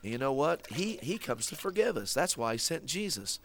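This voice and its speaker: Stammering, bright-voiced man host. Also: mid-range voice, very animated, a little fast, slight background noise. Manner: stammering, repeats words, patient explaining. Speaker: children's ministry host. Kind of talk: scripted comic skit for kids.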